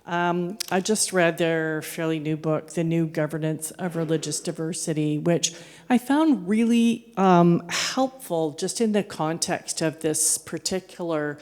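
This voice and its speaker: A woman's voice: on the low side, measured pace, a little mellow. A woman academic speaking informally at a meeting, hesitant and searching for words as she goes. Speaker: academic and journal editor at a panel session. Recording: echoey meeting hall with long reverb.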